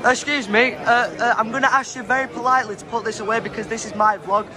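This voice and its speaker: A young man, vlogging from a convention hall with a phone at arm's length, mock-polite and excitable. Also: very high-pitched, fast. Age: young adult. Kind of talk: comic vlog at a magic convention. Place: large indoor hall. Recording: loud crowd and music behind. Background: crowd chatter and music.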